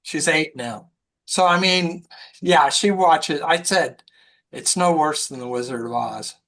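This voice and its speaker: A woman's voice: sits low, a little slow, fairly bright tone. An older woman telling anecdotes casually in a group film discussion. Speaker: film club member.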